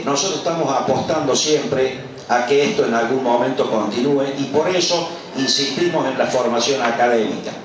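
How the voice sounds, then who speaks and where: A middle-aged or older Argentine man, an author and professor, giving an informal public speech at a book presentation, with an emphatic manner and rhetorical questions. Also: medium pitch. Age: middle-aged or older, over 50. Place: large colonial patio at a public event. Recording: echoey, distant, noisy live event recording.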